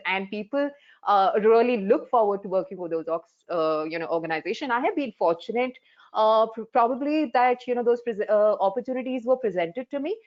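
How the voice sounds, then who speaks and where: A woman's voice: a little high, dull audio. A woman in her forties from India, whose first language is Hindi, telling her career story frankly, with many fillers, on a webinar panel. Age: 40s. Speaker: life coach. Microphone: video call.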